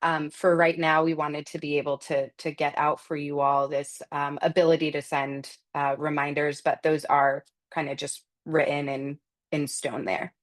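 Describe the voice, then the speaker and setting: A woman presenting software and answering customer questions in an unscripted webinar, explaining step by step and often correcting herself as she goes. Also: low-pitched, flat delivery, normal speed.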